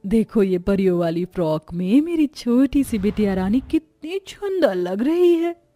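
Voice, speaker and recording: A woman's voice: mid-range voice, sing-song, measured pace, dark tone. A woman voicing a vain, spiteful mother in a dramatic, haughty way for a moral story cartoon. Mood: doting. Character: vain, spiteful mother, scornful to one daughter, doting on another. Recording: music behind the voice.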